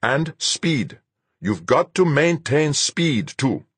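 A man voicing a sharp, commanding male spaceship captain in an audiobook, barking insistent orders. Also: on the high side, very animated, takes it slowly, husky, no room sound, pitched above the performer's own voice, heavily acted. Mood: calmer, instructive. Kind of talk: audiobook character dialogue.

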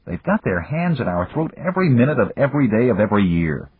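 A man's voice: mid-range voice, sing-song, quick pace, voice pushed up. A man doing a weary blunt businessman. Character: weary middle-aged American male businessman. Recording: dry, phone-like, husky.

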